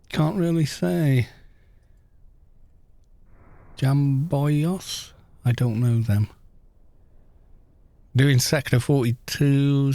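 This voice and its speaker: A man's voice: fairly high pitch, dark tone, dry recording. A man chatting casually on a game livestream, rambling along with self-deprecating good humour.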